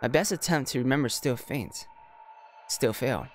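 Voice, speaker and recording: A male game streamer with a mid-pitched voice reads story text aloud and drops in casual, slangy commentary. He jokes in asides between the lines, with music playing behind him. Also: expressive, moderate pace. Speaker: streamer playing and reading a visual novel.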